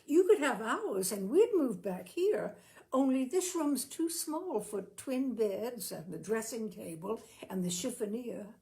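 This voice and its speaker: Lady reading as a kindly grown-up child in a storybook, earnest, apologetic, pitch moving around a lot. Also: expressive, a little slow, mellow. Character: kindly married couple offering father a room. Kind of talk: storybook narration dialogue.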